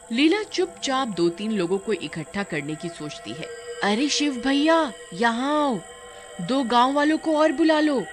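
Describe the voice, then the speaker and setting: Animated woman narrating a spooky story. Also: medium pitch. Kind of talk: dramatic scripted narration of a horror-comedy story. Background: background music.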